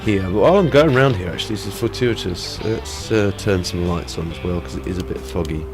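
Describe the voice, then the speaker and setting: A man's voice: sits low, brisk, over music. A man with a head cold, chatting casually through gameplay as a let's play host, rambling with lots of ums.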